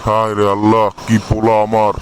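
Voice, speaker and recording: A man's voice: lowish voice, completely flat delivery, a little fast, a little hiss or hum, close to an everyday voice. A man playing a weary, complaining old father in a cartoon, with a Bangladeshi accent. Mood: lamenting. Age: older. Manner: complaining, reproachful, lamenting. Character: weary, scolding old father of a poor family. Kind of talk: amateur cartoon voice acting in colloquial Bengali.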